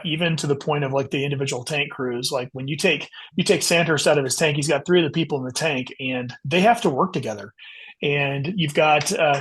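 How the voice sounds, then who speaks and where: A man's voice: a little high, a bit bright, breathy edge. A man speaking as a guest author in an interview, explaining his writing in earnest, long conversational answers.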